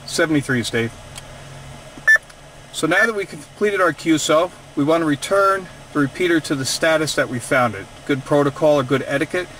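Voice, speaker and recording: A man presents a hands-on instructional demonstration as a video host. His voice sits a little high. He is recorded inside a vehicle, with faint fan and road-vehicle noise behind him. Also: normal speed. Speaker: radio hobbyist video host.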